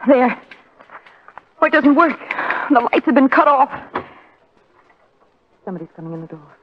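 Plucky female sidekick, 1940s radio: high, very animated, excitable, with noisy vintage sound. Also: normal speed. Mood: frightened. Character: plucky, excitable young lady sleuth's companion. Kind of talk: 1940s radio drama acting. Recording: muffled, noisy 1940 radio recording.

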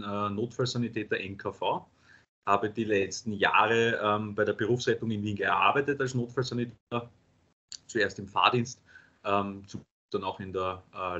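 A paramedic lecturer with an Austrian accent presenting a webinar, pausing often with fillers. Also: male voice, fairly low pitch, bright, forceful tone. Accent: Austrian German. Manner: halting, many filler sounds. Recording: dull lo-fi webinar audio.

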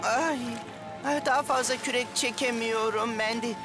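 A woman's voice: fairly high pitch, steady tone, moderate pace, over music, close to an everyday voice. A woman voicing a reluctant, complaining young boy in a Turkish cartoon dub. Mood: whiny, weary.

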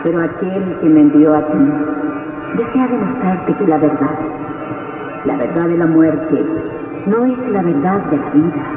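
Calm Death spectre, radio drama, measured delivery, tinny sound, music behind. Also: male voice, fairly high pitch, heavy reverb, in a large hall, far from the mic. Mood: grave, soothing. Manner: slow, solemn, measured delivery. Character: Death as a calm, solemn young female spectre. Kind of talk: old radio horror drama acting.

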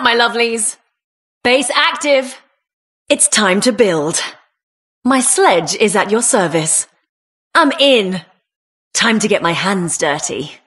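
A plucky British woman builder from a video game, with a high, bright voice. She is cheeky and punchy, swinging widely in pitch and delivering short lines at a very unhurried pace. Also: heavily acted. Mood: eager, confident.

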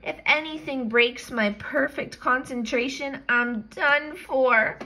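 A woman's voice: high-pitched, takes it slowly, pushed and bright, voice pushed up, exaggerated voice, rough recording. A woman voicing an earnest, fretful male pet dog who muses to himself in a storybook. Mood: straining, worried. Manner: musing to himself in storybook read-aloud.

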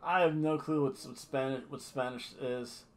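A man's voice: mid-range voice, steady tone, normal speed. A middle-aged man giving casual, rambling, jokey commentary as a review host, recorded at a webcam in a home room. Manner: jokey, rambling, slips into mock accents. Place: small home room indoors.

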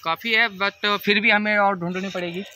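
Young man, high voice, camping vlogger, outdoor forest at night, phone camera, faint noise. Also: fairly even intonation, moderate pace. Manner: explanatory, advising viewers, walking while talking. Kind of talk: casual vlog narration. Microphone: phone camera held by companion.

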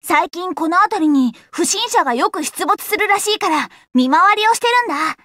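A woman's voice: very high voice, expressive, normal speed, fairly bright tone, no room sound, clean studio sound. A woman voicing a plucky, earnest, friendly young fox-eared girl adventurer in a Japanese anime game, with boyish, frank, upbeat phrasing. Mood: earnest, helpful. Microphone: close, dry studio recording.

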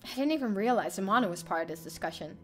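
A woman's voice: medium pitch, animated, fast talker. A young adult woman streamer reading a visual novel aloud in dramatic first-person narration. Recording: faint music behind.

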